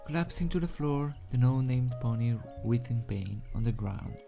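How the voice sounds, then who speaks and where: A man's voice: mid-range voice, animated, moderate pace, gravelly, warm tone, soft background music. A man reading a story aloud as an amateur narrator, dramatic in delivery and sometimes stumbling over the script. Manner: stumbles over words and rereads phrases. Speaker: amateur fan fiction reader.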